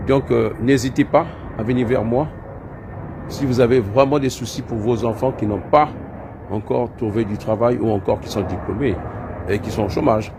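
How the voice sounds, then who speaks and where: A man's voice: medium pitch, fairly even intonation, normal speed. A man, an IT trainer, giving an informal promotional address in hesitant, repetitive phrases. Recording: faint background noise.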